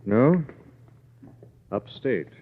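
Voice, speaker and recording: An actor playing a composed, authoritative male sleuth in an old radio mystery, measured, probing and grave. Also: medium pitch, big pitch swings, exaggerated voice. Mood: grim, deliberate. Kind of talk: old-time radio acting. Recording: hissy, muffled vintage radio recording.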